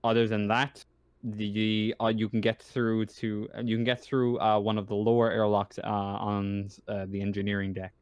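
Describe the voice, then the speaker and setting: A man acting as game master, casually narrating a tabletop roleplaying game in a halting way, searching for words. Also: fairly low pitch, level tone, darkish tone, clear, resonant tone, no echo.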